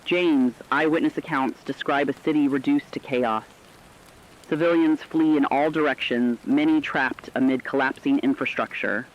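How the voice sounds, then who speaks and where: A man's voice: mid-range voice, fairly even intonation, moderate pace, natural voice. A man playing a solemn radio correspondent, delivering a scripted emergency war report in a formal, dramatic way. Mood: somber, urgent. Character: somber male radio correspondent reporting global catastrophe. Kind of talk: scripted emergency news broadcast. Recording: dull lo-fi radio sound with rain.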